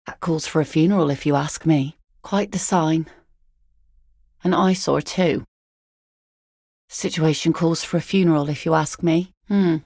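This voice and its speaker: A woman's voice: deep voice, a little slow, long pauses, darkish tone, no room sound. A woman voicing a weary, middle-aged widowed fisherwoman from a video game, blunt and working-class, with a dry, sardonic, matter-of-fact way of talking. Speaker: game character.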